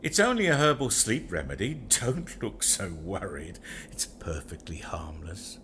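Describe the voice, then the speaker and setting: A man's voice: low-pitched, sing-song, a little slow, fairly bright tone, a little hiss or hum, exaggerated voice. A man playing a commanding master of a manor house in an audio drama, dismissive yet reassuring. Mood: dismissive reassurance. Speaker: amateur actor. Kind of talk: community audio drama acting.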